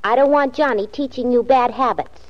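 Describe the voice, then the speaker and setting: Actress as scatterbrained young female secretary, high-pitched, naive and chatty, old-time radio style, slightly dull recording. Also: fairly quick, over loud music, natural voice. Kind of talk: 1940s radio comedy-drama acting.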